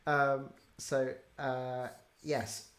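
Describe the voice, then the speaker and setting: A woman's voice: extremely low pitch, little pitch movement, extremely slow. A woman hosting a casual, unscripted interview. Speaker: interviewer, event host.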